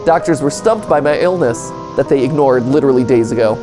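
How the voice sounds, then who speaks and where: A man's voice: medium pitch, fairly quick. A man doing casual reaction commentary, reading on-screen text aloud and adding sarcastic, joking asides and exclamations. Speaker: reaction video host. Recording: music behind the voice.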